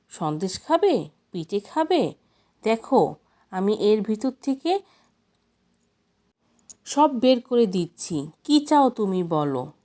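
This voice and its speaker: A woman's voice: a little high, dark tone. A woman voicing a gullible, hot-tempered young village man in a storybook tale, boastful and threatening. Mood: eager.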